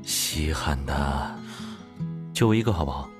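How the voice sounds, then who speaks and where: A very deep-voiced composed young executive. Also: male voice, animated, fairly quick, music in the background. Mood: tender teasing. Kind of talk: audio drama acting.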